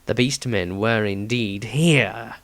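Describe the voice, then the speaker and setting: A man voicing a stern, cold and contemptuous character in a dark fantasy story, with a clinical, superior manner. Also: on the low side, slow. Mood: snarling, contemptuous.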